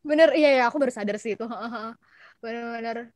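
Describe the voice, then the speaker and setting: High-pitched young Indonesian woman chatting casually. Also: fairly quick. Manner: expressive, giggly, mixes in English and German words. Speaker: interview guest. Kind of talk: casual chat.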